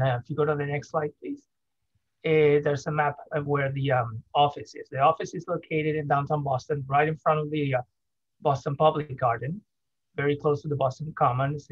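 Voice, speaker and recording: Male dentist, friendly and hesitant delivery, slightly high voice, dull webcam call audio. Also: no echo. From Venezuela. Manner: friendly, hesitant, with many fillers. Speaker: dentist and professor. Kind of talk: conference presentation over video call. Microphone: video call webcam.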